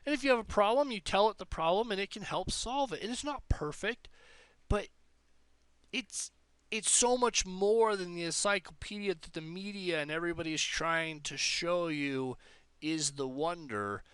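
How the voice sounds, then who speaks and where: A man's voice: high-pitched, moderate pace, fairly bright tone, clean tone, no room sound. A man giving a casual explanatory monologue as a tech presenter, enthusiastic and emphatic, often repeating phrases to drive points home. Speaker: presenter of a tech demo video.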